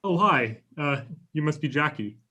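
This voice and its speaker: A man's voice: a little high, expressive, normal speed, sharp tone, husky, full character voice. A young man acting an anxious, eager-to-please twenty-four-year-old in a table read, earnest and pleading, on a video call. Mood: eager, friendly. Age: young adult. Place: room at home, indoors.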